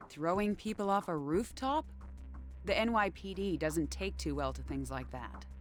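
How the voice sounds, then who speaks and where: A woman's voice: on the low side, animated, moderate pace, soft background music, close to an everyday voice. An actress voicing a young woman with an American accent, a hero's teasing but concerned confidante in a video game. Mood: exasperated.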